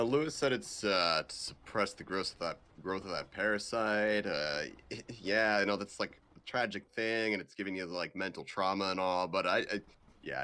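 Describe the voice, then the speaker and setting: A man voicing an awkward, deadpan macho young action hero with a halting, casual delivery in a visual novel dub. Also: low-pitched. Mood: awkward, sheepish. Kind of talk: improvised visual novel dubbing.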